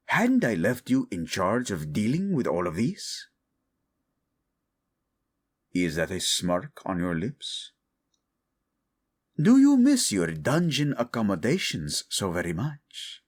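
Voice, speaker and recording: A man's voice: on the low side, wildly swooping pitch, takes it slowly, pauses often, heavily acted. A man playing a suave, teasing prince and doting husband in a romantic audio drama, with a regal, playful manner. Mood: imperious, amused. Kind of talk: intimate romantic audio roleplay addressed to listener.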